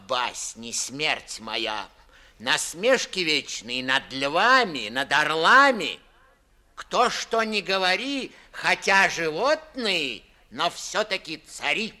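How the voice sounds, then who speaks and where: A man's voice: mid-range voice, pushed and bright, exaggerated voice. Piercing, mannered society gossip, male. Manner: mannered, theatrical, deliberate. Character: slyly servile society gossip, mock-earnest defender of authority. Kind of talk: classic verse comedy, 1950s radio theatre acting.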